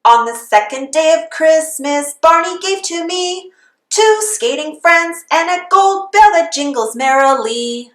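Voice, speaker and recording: A woman's voice: high voice, expressive, measured pace, roomy sound, faint music behind. A woman reading a children's storybook aloud in the sing-song manner of a Christmas carol. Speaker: storybook reader.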